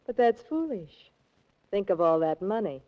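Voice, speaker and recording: Greedy femme fatale, cool and coaxing, mid pitch, muffled old radio crime show. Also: female voice, sing-song, a little slow. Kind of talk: 1940s radio acting. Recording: muffled 1940s radio recording.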